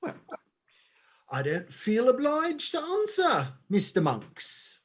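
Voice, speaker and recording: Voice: high-pitched, huge pitch swings, slow, breathy edge, full character voice. An actor playing a sly, menacingly courteous male crime boss in a stage play reading, theatrical and mock-polite. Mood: guarded.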